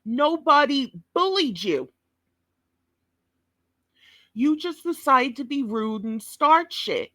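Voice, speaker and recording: Woman commentator, scolding and profane, slow deliberate delivery, dry close sound. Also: sits high, very animated, a bit bright, dry recording. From New York. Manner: blunt, scolding, heavy swearing. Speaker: commentator reacting to a clip.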